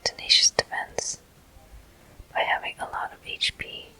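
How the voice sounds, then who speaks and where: A person whispering unhurried ASMR commentary as the host of a video game walkthrough, in a soft, breathy, unvoiced voice. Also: faint music behind.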